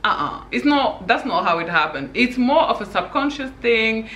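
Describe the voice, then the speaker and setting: A woman's voice: a little high, lively intonation, moderate pace, fairly bright tone, reverberant, slight background noise. A woman from Senegal giving her opinions in a casual vlog, talking emphatically with many "you know"s. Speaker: vlogger.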